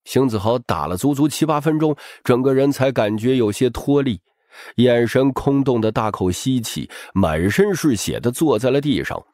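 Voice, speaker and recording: A man's voice: mid-range voice, lively intonation, normal speed, darkish tone, no echo, studio quality. A man narrating an audiobook as a dramatic scripted story.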